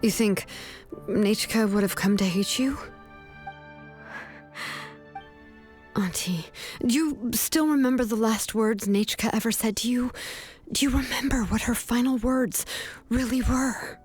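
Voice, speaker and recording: A woman's voice: a little high, normal speed, a little mellow, faint music behind, close to an everyday voice. A woman voicing a confident, cool-headed young woman hero in a video game, composed, firm and matter-of-fact. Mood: stern.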